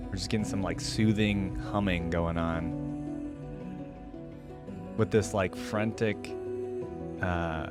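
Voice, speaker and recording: A man's voice: sits low, steady tone, takes it slowly, long pauses. A man hosting a reaction video with casual, informal commentary, rambling as he thinks aloud. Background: game music playing.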